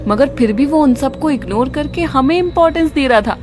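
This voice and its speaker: A woman's voice: sits high, animated, brisk, over music. A woman voicing a snappish young mother. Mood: chatty. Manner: curt, scolding. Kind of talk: cartoon dialogue.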